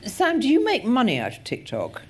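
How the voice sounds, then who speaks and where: A woman chairing a festival panel discussion, talking in a halting, wry way with many pauses and restarts. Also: on the high side, huge pitch swings, breathy edge. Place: festival stage.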